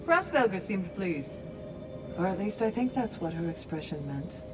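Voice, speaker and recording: A woman's voice: on the low side, animated, a little slow. A woman playing a steadfast, kindly Valkyrie warrior in a video game, speaking in a composed, articulate, formal way. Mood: measured, informative. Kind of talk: video game cinematic acting. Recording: game music behind the voice.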